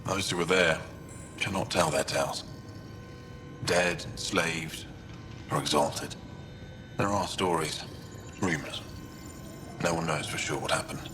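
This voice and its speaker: A man's voice: deep voice, measured pace, long pauses, noisy background. An actor playing a learned, courteous male alien chronicler in a video game, speaking formally and eloquently. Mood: courteous, grave.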